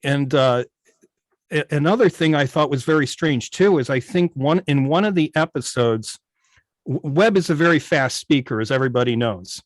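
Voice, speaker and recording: Male guest, fairly high voice, casual and analytical explainer, close dry sound on a video meeting. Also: moderate pace, no echo. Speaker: guest, old-time radio station operator.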